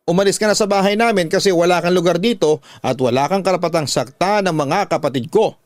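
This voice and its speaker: A man's voice: sits high, fast talker, no echo, studio quality, pitched above the performer's own voice, lightly acted. A man voicing a fierce, protective young woman in a radio drama, scolding in an indignant, emphatic way. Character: fierce, protective young woman confronting her father's mistress. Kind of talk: Tagalog radio drama acting.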